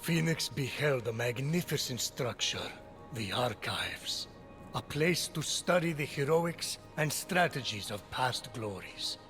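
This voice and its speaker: Solemn myth narrator, very slow, medium pitch, measured, dramatic game storytelling, music behind. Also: male voice, expressive, fairly bright tone. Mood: grave. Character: grave, patient male storyteller of ancient Greek myth.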